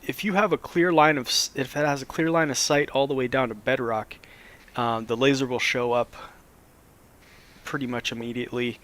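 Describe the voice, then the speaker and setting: A man, a little hoarse after a cold, casually explaining a modded game as he plays, with plenty of ums and restarts. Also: mid-range voice. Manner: relaxed, rambling, lots of fillers. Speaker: Minecraft let's play host.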